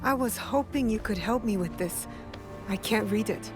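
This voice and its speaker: A woman's voice: mid-range voice, normal speed, over music, natural voice. An actress playing a determined young female shinobi who speaks tersely and earnestly, over a game score. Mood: curious. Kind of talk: video game cutscene acting.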